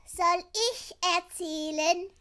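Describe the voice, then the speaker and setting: A woman's voice: extremely high pitch, little pitch movement, slow, bright, forceful tone, heavily acted. A woman voicing a polite, earnest little girl in an audio drama. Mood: earnest, timid.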